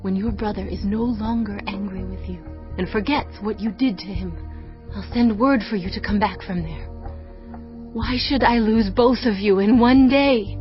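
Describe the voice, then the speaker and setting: A woman's voice: mid-range voice, moderate pace, close to an everyday voice. Lady doing weary protective bible mom, urgent and emphatic, slightly muffled recording. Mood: urgent warning. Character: urgent, protective, world-weary mother of grown sons. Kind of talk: dramatized audio Bible acting. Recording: dull sound, faint music.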